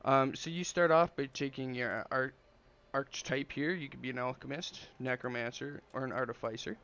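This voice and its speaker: A man with a slight cold giving relaxed, rambling gameplay commentary over a strategy game, full of ums and uhs. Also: mid-range voice, normal speed, a little hiss or hum. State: has a slight cold, sniffling. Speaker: gameplay video creator. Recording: dull lo-fi audio.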